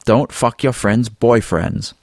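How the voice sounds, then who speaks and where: A man's voice: mid-range voice, sing-song, normal speed, a little mellow, no room sound, studio quality, voice pushed up. A man voicing an earnest, bristling young male animal student in an audiobook, with an insistent, moralizing manner. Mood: exasperated reproach. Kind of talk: audiobook character dialogue.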